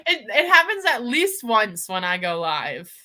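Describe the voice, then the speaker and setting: Bright-voiced, giggly woman chatting about books. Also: on the high side, wildly swooping pitch, slow pace. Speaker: co-host of book discussion live show. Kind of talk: casual livestream book chat. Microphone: video call over internet.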